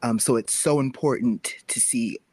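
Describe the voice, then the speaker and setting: A man talking casually and reflectively as a guest creator on a panel, pausing to find his words. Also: mid-range voice, darkish tone, breathy edge, no echo.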